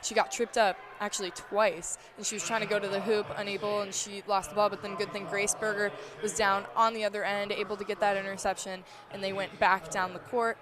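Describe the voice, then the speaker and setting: A woman's voice: mid-range voice, flat delivery, fairly quick, hardly any pauses. A woman working as a color commentator, casually analysing a basketball play. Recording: clear arena crowd behind. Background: arena crowd.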